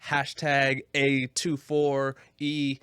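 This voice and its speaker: A man's voice: medium pitch, monotone, very slow, a bit bright, clear, resonant tone, no echo, clean studio sound. A young man chatting casually as a podcast co-host, telling stories in a slangy, joking way. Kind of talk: casual comedic podcast chat.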